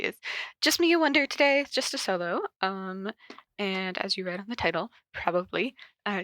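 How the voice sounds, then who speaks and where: A woman hosts a casual podcast on her own, drifting from point to point with plenty of filler words. A cold has left her voice stuffy and nasal, yet it still carries a bright, pushed-forward tone. The sound is close and dry, with no room echo. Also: fairly high pitch. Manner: rambling, chatty, frequent ums and likes. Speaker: podcast host. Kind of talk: casual solo podcast chat.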